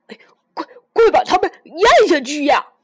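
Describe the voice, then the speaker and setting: A woman voicing a young male frog in a bedtime story, loud and eager. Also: very high voice, sing-song, normal speed. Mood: calling.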